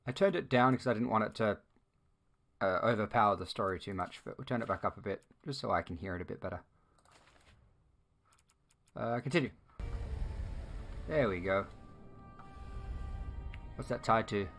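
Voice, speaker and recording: A man's voice: lowish voice, moderate pace, long pauses, fairly bright tone. Casual male gamer, music behind him. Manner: informal, thinking aloud, reacting to the game. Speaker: let's play host. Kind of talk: casual gaming commentary.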